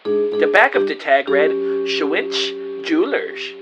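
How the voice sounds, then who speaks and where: Male actor as earnest young detective narrating a mystery radio play, animated intonation, plain-spoken, music underneath. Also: fairly high pitch, takes it slowly, vocal fry, muffled recording, heavily acted. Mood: uneasy, amazed. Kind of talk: first-person scripted audio drama narration.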